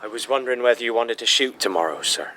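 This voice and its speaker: An actor playing a deferential but persistent gamekeeper in a period radio drama. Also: male voice, mid-range voice, quick pace, pushed and bright, a little hiss or hum, lightly acted. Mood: deferential, insistent.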